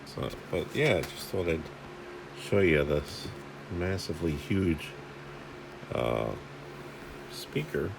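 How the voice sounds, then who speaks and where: A man's voice: deep voice, lively intonation, takes it slowly, vocal fry, pauses often, background noise. A man giving casual, rambling hands-on repair commentary, thinking aloud in informal, salty language. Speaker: host of a radio repair video. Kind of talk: casual hands-on commentary while inspecting equipment.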